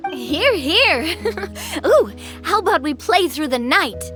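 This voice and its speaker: A woman's voice: very high voice, sing-song, takes it slowly, exaggerated voice. A woman voicing a cheerful, confident young woman in a fantasy game, with an encouraging manner. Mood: enthusiastic. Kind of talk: video game character acting. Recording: background music behind voice.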